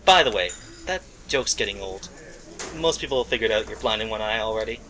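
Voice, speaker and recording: A man's voice: fairly low pitch, lively intonation, fairly quick, music in the background, close to an everyday voice. A man playing a detective's plain-spoken partner in an amateur radio play, subdued and matter-of-fact. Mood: subdued, reassuring.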